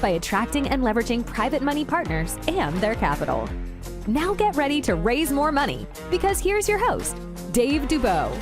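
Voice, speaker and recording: A woman reads a scripted show intro in a polished, emphatic way. Her voice sits high and moves widely in pitch. A loud music bed plays under her. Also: big pitch swings, moderate pace. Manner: polished, emphatic announcer read. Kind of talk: scripted promo narration.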